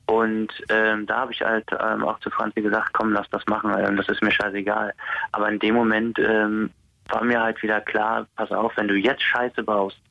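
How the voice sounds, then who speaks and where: A man's voice: lowish voice, flat delivery, normal speed, creaky, sharp tone, dry recording. A man from the Netherlands, a prison inmate on day release, talking hesitantly in a casual interview with many fillers and restarts. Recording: dull lo-fi sound.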